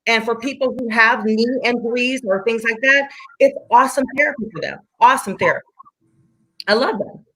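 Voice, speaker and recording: A woman's voice: fairly high pitch, a little fast, sharp tone, rough recording. A woman in her forties, a fitness instructor, chatting enthusiastically and repeating herself for emphasis. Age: 40s. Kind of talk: casual chat.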